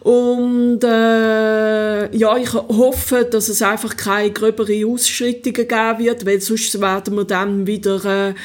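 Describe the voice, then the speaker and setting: A Swiss German woman talking as an interview guest, her voice sitting a little high. She hesitates often and fills her pauses with little sounds, relaxed and chatty. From Switzerland. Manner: hesitant, many fillers, laughs easily. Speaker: city council member, interview guest. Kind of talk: casual interview.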